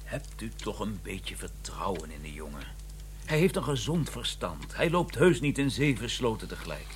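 Donnish, formal academic, animated pitch. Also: male voice, normal speed, a little hiss or hum. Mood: affronted, insistent. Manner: polite, formal, hesitant but insistent. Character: courteous, persistent Cambridge academic, donnish and easily affronted. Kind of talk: radio drama acting with first-person narration.

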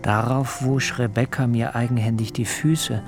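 A cautious, subdued son from biblical times, played by a man, telling his own story in a radio drama. The middle-pitched voice is measured and literary, with faint music behind it. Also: fairly even intonation, lightly acted. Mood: measured, recollecting. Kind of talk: radio drama acting and first-person narration.

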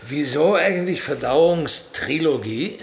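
A man's voice: on the high side, lively intonation, takes it slowly. An actor playing a dry, long-suffering man in a radio drama, speaking politely with understated hesitation. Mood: politely curious. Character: dry, long-suffering man reluctantly tending an old puppeteer.

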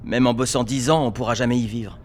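An actor playing a naive, dreamy young conscript with a casual, slangy way of talking in an audio drama. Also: male voice, medium pitch, steady tone, quick pace, a little mellow, slight background noise, close to an everyday voice. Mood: incredulous.

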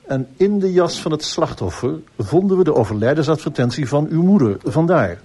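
Formal police inspector, slightly husky. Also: male voice, a little high, lively intonation, mellow. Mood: formal, composed. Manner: formal, polite, measured questioning. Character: courteous, persistent male police inspector questioning people. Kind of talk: radio drama acting.

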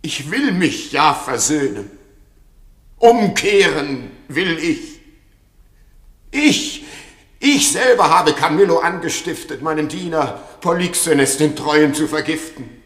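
An actor playing a proud, guilt-ridden king in a classical radio play, declaiming with weighty pauses. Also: on the high side, expressive, fairly bright tone. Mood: anguished confession. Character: guilt-stricken, proud king, tyrannical then remorseful. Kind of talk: classical German radio play stage acting. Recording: echoey hall with long reverb.

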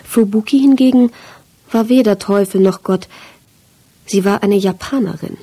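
A woman's voice: mid-range voice, normal speed, warm tone, breathy edge. A woman reading a literary first-person story as a scripted audiobook narration.

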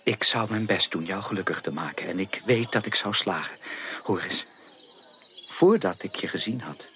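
An actor playing an earnest, caring and direct gentleman suitor in a radio drama, speaking formally and emphatically with probing, insistent questions. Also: sits low, normal speed, slight background noise. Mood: ardent, determined. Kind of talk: 1980s Dutch radio drama acting.

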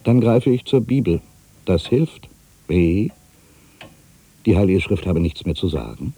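An actor playing an aging, world-weary writer in an introspective, theatrical literary monologue, anxious and brooding, given to grandiose fancies. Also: male voice, sits low, lively intonation, a little slow, husky, heavily acted. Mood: wry doubt. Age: older. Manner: theatrical, introspective, swinging between hushed and emphatic delivery.

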